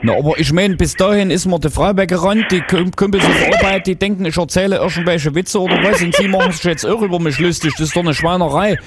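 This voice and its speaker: A man's voice: high voice, quick pace, few pauses, very noisy. A man making a radio prank call, playing dumb with mock-earnest confusion, with a Berlin accent.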